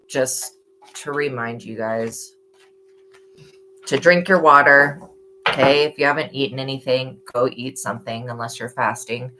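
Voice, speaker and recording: A hoarse woman giving a live-stream tarot reading, with earnest, motherly reminders and a preachy tone about faith. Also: rumbling low, a bit bright, slight background noise, low-quality recording. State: hoarse from yelling. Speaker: tarot reader.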